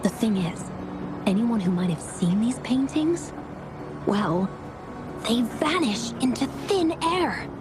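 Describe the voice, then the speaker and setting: A woman's voice: fairly high pitch, lively intonation, takes it slowly. An actress playing an upbeat, confident young woman who briefs someone on a mystery in a breezy, colloquial way in a video game. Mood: concerned, explaining. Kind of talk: video game story dialogue. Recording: music behind the voice.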